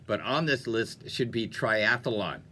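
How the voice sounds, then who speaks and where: Bright, animated male radio DJ. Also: on the low side, slow, slight background noise. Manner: chatty, playful, jokey. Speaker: radio DJ and host. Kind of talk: upbeat music radio presenting with casual asides. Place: radio studio.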